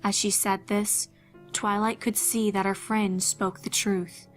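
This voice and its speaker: Woman narrating with very even intonation. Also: mid-range voice, takes it slowly, faint music behind. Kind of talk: scripted fan fiction horror narration.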